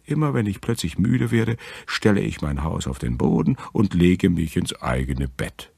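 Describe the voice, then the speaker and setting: Short fat storybook sleepyhead, read by a man, unhurried, deep and husky. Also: expressive, a little mellow, dry recording, studio quality, heavily acted. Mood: matter-of-fact. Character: short fat sleepyhead wanderer, comfort-loving.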